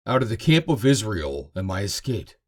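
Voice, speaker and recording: A man's voice: fairly low pitch, sing-song, moderate pace. A man voicing an earnest young Amalekite messenger, declaiming his report in a scripture reading.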